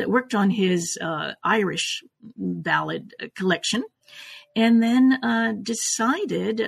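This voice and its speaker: An older woman from Canada, born in Italy, answering reflectively as an interview guest, halting as she thinks aloud. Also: mid-range voice, gravelly, breathy edge. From born in Italy, raised in Canada. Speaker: guest, folklorist and oral historian.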